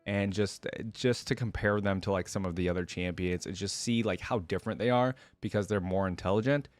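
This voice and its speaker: A man's voice: low voice, steady tone, brisk, no room sound, faint music behind. A man reading fantasy lore aloud as the host of a reaction channel, with casual, thoughtful commentary.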